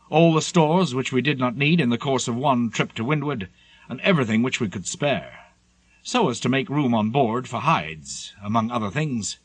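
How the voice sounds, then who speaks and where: A man narrating a seafaring memoir as an audiobook, reading scripted prose in a measured, literary storytelling manner. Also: mid-range voice, fairly bright tone, dry recording.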